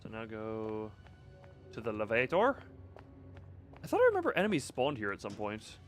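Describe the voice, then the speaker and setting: A man's voice: lowish voice, huge pitch swings, normal speed, over music. A man giving casual live game commentary, thinking aloud with playful, quirky turns of phrase. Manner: playful, quirky word choices. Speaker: video game streamer.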